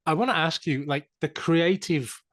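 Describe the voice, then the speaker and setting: Male podcast host, mid pitch, dry close sound, casual questions. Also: normal speed, fairly bright tone, dry recording. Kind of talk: interview questions.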